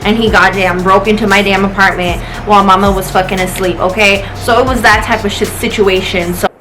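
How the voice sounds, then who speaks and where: An American woman in her late twenties chats casually as a vlogger. She rambles through a personal story with slang and swearing, at an ordinary pitch, with music clearly playing behind her. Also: steady tone, a little fast.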